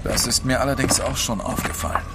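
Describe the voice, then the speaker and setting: A man's voice: medium pitch, quick pace, close to an everyday voice. Serious, cautious expedition member male actor, terse delivery, middle register, radio drama, noisy ambience. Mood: grave. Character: sober, watchful, cautious polar expedition man. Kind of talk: audio drama acting. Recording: clear outdoor background noise.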